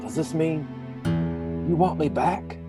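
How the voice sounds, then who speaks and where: A man's voice: a little high, takes it slowly, loud music behind the voice. A man playing a pompous, melodramatic hero with a lightsaber in a comic radio play, declaiming in mock-heroic style. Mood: wounded pride.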